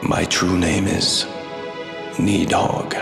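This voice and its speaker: A man's voice: low voice, level tone, very slow, vocal fry, heavily acted. An actor playing a scheming, power-hungry traitor lord in a fantasy game, cold and contemptuous, speaking in a grandiose, theatrical way as he nears death. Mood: solemn, dying defiance. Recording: long hall reverb, loud music behind.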